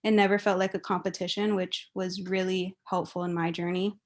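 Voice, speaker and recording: A woman's voice: fairly low pitch, little pitch movement, brisk. A young adult woman from Hawaii, giving an informal, chatty and giggly speech as a guest speaker. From Kauai, Hawaii.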